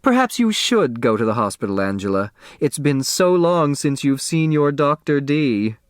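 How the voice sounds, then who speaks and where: A man's voice: medium pitch, very animated, normal speed, no room sound, clean studio sound, pitched above the performer's own voice, lightly acted. A man voicing a determined society mother and hostess who breezily glosses over trouble in a storybook. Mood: sly, coaxing.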